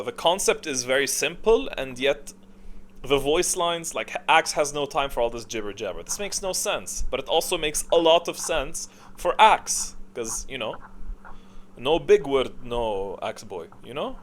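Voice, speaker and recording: A man's voice: medium pitch, fairly bright tone. Casual, rambling man giving gaming opinions. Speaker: host of a gaming tier list video.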